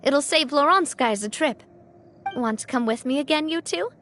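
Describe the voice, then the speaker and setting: A woman's voice: high-pitched, normal speed, fairly bright tone, faint music behind. A woman playing a young, courteous and composed female leader in a video game. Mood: gracious, friendly. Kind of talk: scripted game dialogue acting.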